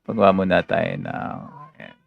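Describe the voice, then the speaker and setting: Low, creaky Filipino man streaming games. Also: steady tone, normal speed, narrow, tinny audio, background noise. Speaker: game livestreamer. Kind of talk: casual live gameplay commentary. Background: game music.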